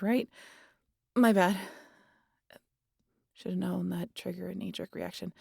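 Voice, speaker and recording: A woman playing a sardonic, guarded vampire in an intimate audio roleplay, with a gravelly, husky voice and a teasing, exasperated manner that slips into breathy whispers. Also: fairly low pitch, darkish tone, no echo, clean studio sound, exaggerated voice. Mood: soothing, hushed. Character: sardonic, guarded female vampire hypnotizing an injured hunter.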